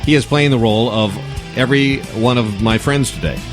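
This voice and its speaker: A man's voice: mid-range voice, brisk. A man hosting a casual radio show, tossing in teasing quips and short questions. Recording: music bed behind the voice.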